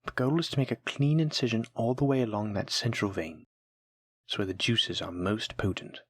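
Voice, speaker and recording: A voice actor playing a kind, patient alchemy teacher in a fantasy audio roleplay, gently encouraging and instructing a new apprentice. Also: medium pitch, normal speed, breathy edge, dry recording, close to an everyday voice. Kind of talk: soft-spoken scripted fantasy audio roleplay.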